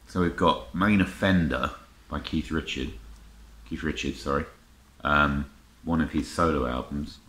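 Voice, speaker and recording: A middle-aged man with an extremely deep voice talks casually to camera in a roomy, echoing space. His intonation is lively and swings around a lot. He sounds unscripted, muttering and exclaiming to himself as he goes through items. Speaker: vlogger showing a music haul. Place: indoor room lined with record shelves. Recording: roomy echo, faint noise, clicking handling sounds.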